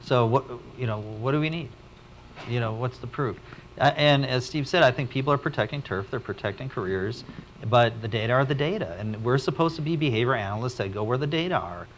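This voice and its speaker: A man's voice: mid-range voice, expressive, brisk, a little hiss or hum. A man in the audience asking blunt questions during a conference discussion, picked up from a distance. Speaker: audience member. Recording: distant from the microphone.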